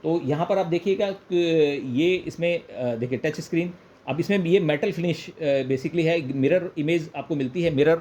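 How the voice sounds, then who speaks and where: A man's voice: on the high side, moderate pace, darkish tone. An Indian man presenting an informal product demonstration, explaining step by step, repeating points and mixing in English phrases. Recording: clear background noise, room ambience.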